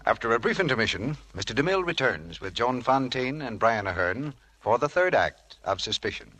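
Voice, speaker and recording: A man's voice: mid-range voice, sing-song, brisk, faint music behind. A man announcing in formal 1940s radio style as the host of an old broadcast. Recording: very noisy old radio, loud audience.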